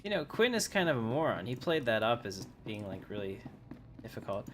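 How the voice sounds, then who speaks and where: A man's voice: mid-range voice, sing-song, fairly quick, faint music behind. A man livestreaming a game, chatting casually and offhandedly while he plays.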